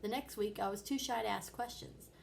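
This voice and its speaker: A woman's voice: medium pitch, fairly quick, gravelly, slight background noise, lightly acted. A woman voicing a kindly, reassuring father telling patient anecdotes in a children's storybook. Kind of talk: children's storybook read-aloud.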